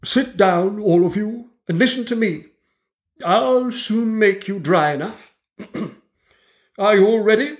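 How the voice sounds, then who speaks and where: A woman's voice: mid-range voice, takes it slowly, pauses often, pitched above the performer's own voice, natural voice. A woman voicing a prim, bossy female mouse who lectures a group in a pompous, schoolmarmish way.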